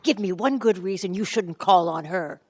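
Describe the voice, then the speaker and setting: An actress playing a fond, meddling small-town mother with a brisk, coaxing manner in a radio play. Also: on the low side, natural voice. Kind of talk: live radio play acting.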